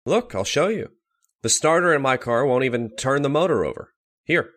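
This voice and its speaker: Male actor as earnest doctor in a radio thriller, medium-pitched, clipped and guarded. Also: expressive, moderate pace, a bit bright, a little hiss or hum, close to an everyday voice. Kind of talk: old-time radio horror acting.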